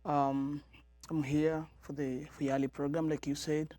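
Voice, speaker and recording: A man's voice: on the high side, flat delivery, a little slow, warm tone, dry recording. A man from Tanzania, a guest in a studio interview, speaking earnestly and often repeating words as he searches for them. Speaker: guest, member of parliament.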